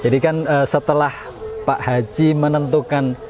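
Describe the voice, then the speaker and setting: A man's voice: a little high, steady tone, moderate pace, over music. A man interviewing someone in a casual promotional style, often echoing and confirming what he is told. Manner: echoes and confirms the guest's answers.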